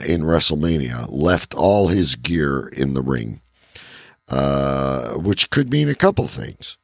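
A man co-hosting a casual podcast, chatting in a blunt, profane, opinionated way with a gravelly, husky voice. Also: rumbling low, no room sound, clean studio sound. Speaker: podcast co-host. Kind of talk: casual banter about wrestling and movies.

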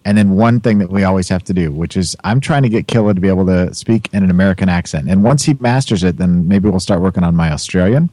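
A man's voice: low-pitched, fast talker, hardly any pauses, mellow, no room sound. A man hosting a casual podcast, chatty and teasing. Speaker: podcast host. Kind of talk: casual chat.

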